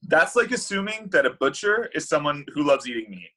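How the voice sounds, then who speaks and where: A man's voice: a little high, sing-song, fairly quick, bright, forceful tone, heavily acted. A man reading the part of a flippant, evasive, bitter thirty-year-old Cupid at a comedy table read. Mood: flippant, defensive.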